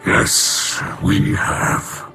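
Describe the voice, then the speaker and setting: An actor voicing a noble, gentle alien leader for a video game, formal and measured. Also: male voice. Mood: solemn, earnest. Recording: loud music behind.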